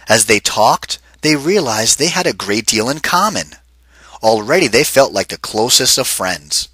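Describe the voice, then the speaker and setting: A man reading a picture book aloud to children, pausing to ask them questions. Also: mid-range voice, bright, forceful tone, dry recording. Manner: asks the kids questions between pages. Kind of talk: interactive read-aloud for children.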